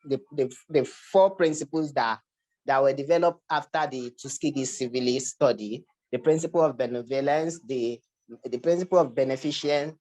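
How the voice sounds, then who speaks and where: Halting Nigerian-accented academic presenter. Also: mid-range voice, a little mellow, low-quality recording. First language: Yoruba. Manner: hesitant, repeats words and restarts phrases. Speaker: research presenter.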